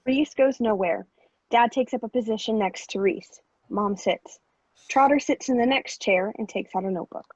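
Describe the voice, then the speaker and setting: A woman's voice: on the high side, moderate pace, a little mellow, husky, dry recording, lo-fi sound. A woman reading out stage directions as scripted narration for a play.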